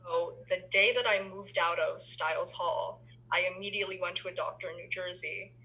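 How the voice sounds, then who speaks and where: A woman's voice: lowish voice, fairly even intonation, a little fast, sharp tone, husky, rough recording. A young woman from the Philippines, a university student, chatting casually in an interview with many ums and restarts. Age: young adult.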